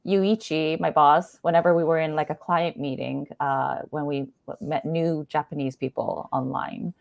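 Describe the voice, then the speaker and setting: A woman's voice: low voice, fairly even intonation, moderate pace, creaky, no echo. A woman from Canada, a guest in a casual conversation, talking freely with lots of fillers and frequent laughter. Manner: chatty, laughs often, many fillers like "like" and "you know".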